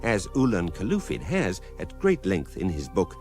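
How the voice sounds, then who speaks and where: An actor with a British accent playing a calm, dry narrator of an electronic guidebook in a radio drama, deadpan and mock-scholarly. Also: male voice, low voice, normal speed, soft background music, exaggerated voice. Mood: dry, measured.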